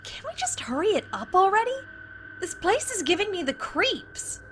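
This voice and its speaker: A woman's voice: extremely high pitch, sing-song, moderate pace, a bit bright, a little hiss or hum, heavily acted. A woman playing a snippy, sarcastic young woman who is easily spooked, in a cheesy horror audio drama. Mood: irritated. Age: young adult. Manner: sarcastic, dismissive.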